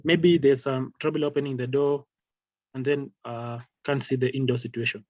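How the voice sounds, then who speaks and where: A man giving an informal product training over slides in a halting, repetitive, hesitant way. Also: medium pitch, fairly even intonation, no echo, dull audio. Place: online video call with screen-shared slides.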